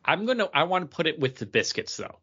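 A man's voice: fairly high pitch, big pitch swings, fast talker, pushed and bright, husky, dull audio. A man chatting casually and emphatically as a podcast co-host, giving his opinions in a panel ranking.

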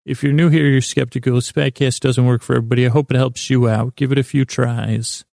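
A man hosting a bedtime podcast in a meandering, halting monologue, often interrupting himself and wandering off track while gently reassuring the listener. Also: medium pitch, steady tone, creaky, no echo, studio quality. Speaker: podcast host.

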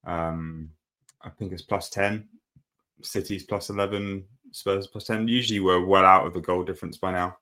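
A man's voice: sits low, fairly even intonation, moderate pace, pushed and bright. A man co-hosting a football podcast, chatting casually and rambling with fillers and restarts. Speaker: podcast co-host.

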